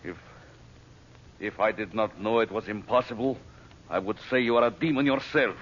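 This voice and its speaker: A man's voice: lowish voice, normal speed, a bit bright, natural voice. An actor playing a cold, ruthless male villain who gives orders in a commanding, menacing 1930s radio drama manner. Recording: lo-fi old radio transcription with hiss.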